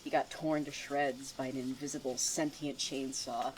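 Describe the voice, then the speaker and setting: A woman's voice: very deep voice, little pitch movement, normal speed, slight background noise, rough recording. A woman playing a smug, cryptic student who mocks and needles theatrically in an audio drama. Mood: mocking.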